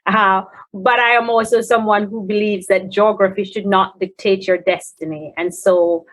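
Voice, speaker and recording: A woman's voice: medium pitch, steady tone, moderate pace, poor audio. A woman with a Jamaican accent, answering conversationally as an interview guest. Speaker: guest, technology company founder.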